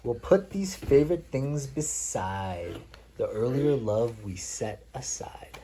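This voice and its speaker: A man reading picture books aloud as a father at bedtime, playfully pausing to chat with his child. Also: mid-range voice, animated, warm tone, a little hiss or hum. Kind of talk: read-aloud picture book storytelling mixed with casual chat.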